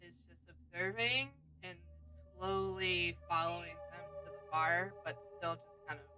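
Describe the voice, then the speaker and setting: A woman chatting casually as a tabletop game player, inquisitive and halting as she works out what her character does. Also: on the low side, fairly even intonation, music in the background, poor audio.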